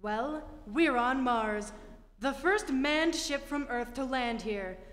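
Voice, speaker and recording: A woman's voice: high-pitched, animated, slow pace, slight background noise. A woman playing a stern, commanding naval spaceship captain who gives clipped orders in old-time radio drama style. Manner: authoritative, clipped military orders. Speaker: actor in a radio play. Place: theatre stage, live performance. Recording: echoey theatre hall.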